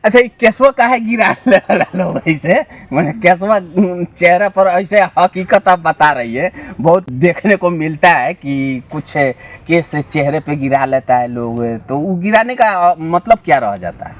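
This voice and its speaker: A man's voice: high-pitched, lively intonation, unhurried, few pauses. A man works as an interviewer. He talks in a wordy, informal way, echoing back what he is told and stretching out his questions. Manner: wordy, repeats guest's answers back. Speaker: interviewer, radio channel host.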